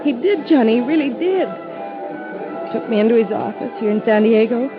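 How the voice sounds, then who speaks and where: A woman's voice: a little high, expressive, moderate pace, over music, lightly acted. An actress playing a young office assistant in a 1950s radio drama, earnest and emotional in her delivery. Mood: wistful, tearful. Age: young adult. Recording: muffled vintage radio recording.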